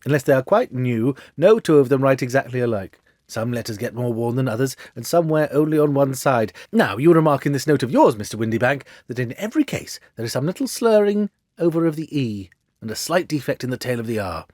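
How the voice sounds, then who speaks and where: A man's voice: mid-range voice, animated, brisk, darkish tone, no room sound, close to an everyday voice. Male reader as suave storybook detective, shrewd and precise, theatrical swoops, very dry sound. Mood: lecturing.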